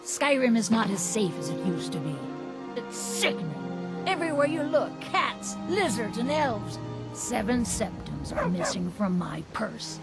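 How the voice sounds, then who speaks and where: A woman's voice: mid-range voice, sing-song, measured pace, gravelly. An actress playing a fretful, grumbling older village woman in a fantasy video game. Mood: weary, disgusted. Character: fretful old Nord village mother, grumbling and excitable. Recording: loud music over the voice.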